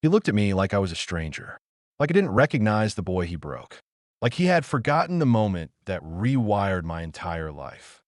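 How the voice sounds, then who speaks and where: A man performing a dramatic audio-play monologue as a wounded, solemn, defiant trauma survivor, speaking deliberately and emphatically with dramatic pauses. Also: on the low side, very animated, no echo, clean studio sound. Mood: tense.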